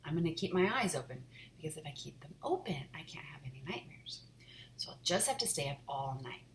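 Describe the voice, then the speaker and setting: A woman's voice: low voice, lively intonation, quick pace, a little hiss or hum. A woman voicing a tired young boy who pleads and mumbles, in a roomy echo. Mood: plaintive.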